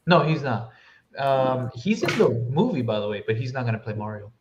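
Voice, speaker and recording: A man's voice: medium pitch, big pitch swings, brisk, a little hiss or hum. A man hosting a podcast, chatting informally and giving his opinions on films. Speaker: podcast host. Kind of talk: casual film review chat. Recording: dull lo-fi mic, roomy echo.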